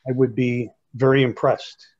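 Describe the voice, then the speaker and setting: A man with an average-pitched voice muses casually in a group discussion. His video call audio is somewhat dull and lo-fi. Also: flat delivery, moderate pace, darkish tone. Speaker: discussion participant. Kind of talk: casual spoken musing in a group.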